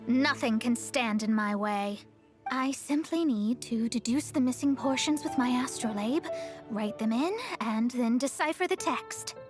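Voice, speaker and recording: A woman's voice: a little high, a little slow, natural voice. A woman playing a proud, self-assured young female astrologist in a video game, speaking in a haughty, articulate, explanatory way. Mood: boastful. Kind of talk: video game character acting. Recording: music behind the voice.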